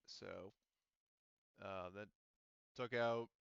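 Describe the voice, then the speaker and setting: A man running a tabletop roleplaying game as game master, explaining rulings in a halting, self-correcting way. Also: fairly low pitch, steady tone, creaky, fairly bright tone, clear, resonant tone, no room sound, lo-fi sound.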